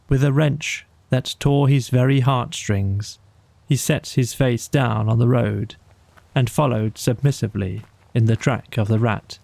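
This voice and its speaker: A man reads a scripted bedtime story at an unhurried, measured pace. His soft voice is mellow with noticeable creak. It is recorded very dry. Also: mid-range voice, no echo. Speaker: audiobook narrator.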